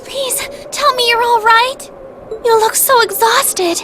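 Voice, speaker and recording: Super high girlish game heroine, urgent and caring, loud music under her. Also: takes it slowly. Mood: worried, tender. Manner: urgent, emphatic, expressive. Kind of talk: dramatic video game voice acting.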